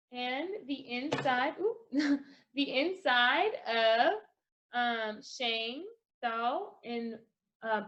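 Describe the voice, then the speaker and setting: A woman leads a children's craft lesson at a very unhurried pace, guiding each step with encouragement. Her voice sits a little high. The sound is somewhat dull, with music behind her. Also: fairly bright tone. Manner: encouraging, instructional.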